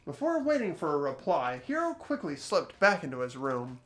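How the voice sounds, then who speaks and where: A young man hosting a game playthrough, switching between expressive scripted narration and casual commentary. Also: a little high, moderate pace. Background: soft game music.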